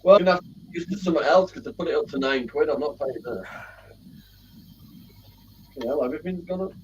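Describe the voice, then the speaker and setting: Wry man, fairly high and lively voice, heard from far off a dull mic with hiss. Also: quick pace. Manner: grumbling, wry. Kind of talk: casual group chat. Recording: distant dull mic with noise.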